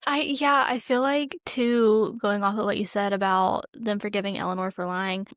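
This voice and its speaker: A woman's voice: mid-range voice, steady tone, moderate pace, no room sound, clean studio sound. A woman co-hosting a podcast, talking through films in a casual, conversational way peppered with "like". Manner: informal, conversational, often says like. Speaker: podcast co-host. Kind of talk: casual film discussion chat.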